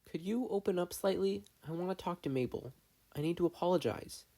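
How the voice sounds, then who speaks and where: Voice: mid-range voice, brisk, dark tone, voice pushed up, natural voice. A narrator voicing a despairing, self-loathing, defensive adolescent boy in a fan fiction reading. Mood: defeated. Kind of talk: fan fiction audiobook character voice.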